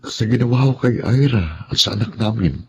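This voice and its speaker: A man's voice: lowish voice, lively intonation, normal speed, a little mellow, husky, muffled recording, full character voice. A man acting in a Filipino drama as a poor, humble husband who is apologetic and weighed down by guilt. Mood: remorseful.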